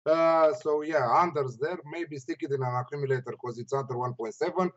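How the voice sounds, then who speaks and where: A man with a Romanian accent giving casual sports betting analysis in a halting, hesitant way. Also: on the high side, muffled recording. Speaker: betting tipster and panelist.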